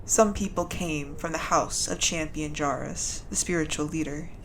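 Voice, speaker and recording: A woman playing a grieving, solemn speaker at a noble family's funeral in a fantasy audio drama, with measured, halting delivery. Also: sits low, fairly bright tone, slight background noise, rough recording. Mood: solemn, reverent. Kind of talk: audio drama eulogy with solemn scripture-like reading.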